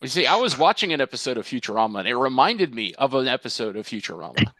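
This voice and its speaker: A man's voice: mid-range voice, sing-song, quick pace, pushed and bright, no echo, rough recording. A man performing at a comedy table read, chatting in casual banter between sketches.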